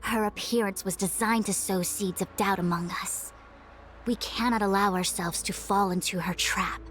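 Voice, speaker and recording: A woman's voice: medium pitch, fairly even intonation, moderate pace, fairly bright tone, a little hiss or hum, natural voice. Game role: commanding woman official played by a woman, mid-range voice, formal and resolute. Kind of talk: video game voice acting.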